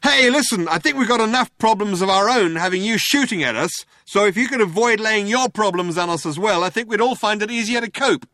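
A man voicing an exasperated, sarcastic man shouting back in a comic science fiction audiobook. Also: sits high, a little fast, few pauses, dry recording, clean studio sound, pitched above the performer's own voice.

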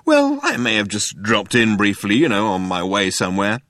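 A man's voice: on the low side, big pitch swings, moderate pace, a bit bright, dry recording, clean studio sound. A man voicing a laid-back, evasive alien show-off in a comic audiobook, with affected nonchalance. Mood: breezy, evasive. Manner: affected nonchalance, hesitant stammering when cornered.

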